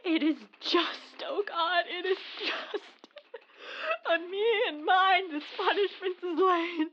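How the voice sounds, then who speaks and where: A woman's voice: very high voice, steady tone, slow pace. A woman playing an unhinged, pious curate in an audio drama, declaiming fervently about sin and doom. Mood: anguished penitence. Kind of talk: audio drama acting, fervent preaching and prayer. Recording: dull audio with faint noise.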